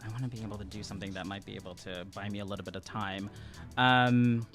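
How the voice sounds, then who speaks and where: A man's voice: lowish voice, steady tone, fast, a bit bright, soft background music. A man in his thirties playing a tabletop game, talking casually and thinking aloud as he goes. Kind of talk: casual improvised game talk. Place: small indoor studio at a table. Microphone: close podcast microphone on stand.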